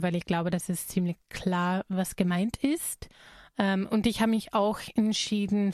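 An American woman from Texas, a native English speaker, hosting a live film talk in a learned language with an American accent, pausing and correcting herself often. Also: mid-range voice, darkish tone, no room sound, studio quality. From Texas, USA. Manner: hesitant, many fillers and self-corrections. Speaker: film show host.

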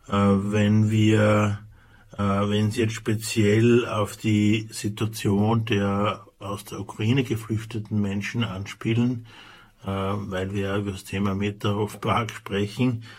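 A man with an Austrian accent, speaking for an aid organization, explaining rules in a measured way with frequent hesitations. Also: on the low side, breathy edge. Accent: Austrian German. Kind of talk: radio interview answers.

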